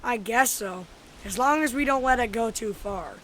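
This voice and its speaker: A woman's voice: a little high, normal speed, fairly bright tone, rough recording. A young female-sounding performer playing an easygoing, joking boy in an amateur radio drama. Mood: hesitant. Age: child.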